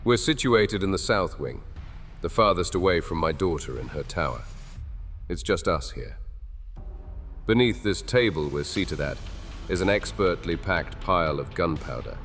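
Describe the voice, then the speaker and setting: An actor playing a grieving, desperate king in a dark fantasy audio drama, speaking in slow, solemn, measured threats. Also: sits low, expressive. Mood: grimly threatening. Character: grieving cursed old king, grave and desperate. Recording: reverberant with soft music behind.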